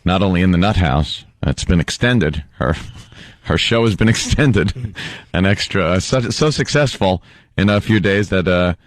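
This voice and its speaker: A man's voice: low-pitched, animated, normal speed, dry recording. A man co-hosting a radio show in casual, joking chat.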